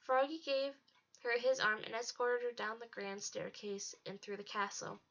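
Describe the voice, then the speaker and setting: A woman's voice: on the high side, normal speed, fairly bright tone. A woman narrating a storybook as a read-aloud. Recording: dull lo-fi home recording.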